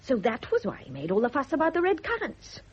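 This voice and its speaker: Husky, bitter, suspicious nurse. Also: female voice, high-pitched, wildly swooping pitch, fairly quick, full character voice. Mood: righteous accusation. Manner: indignant, insinuating, emphatic. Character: bitter, suspicious, righteous woman nurse, scornful of men. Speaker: private nurse. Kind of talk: 1970s radio drama acting. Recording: dull lo-fi old radio recording.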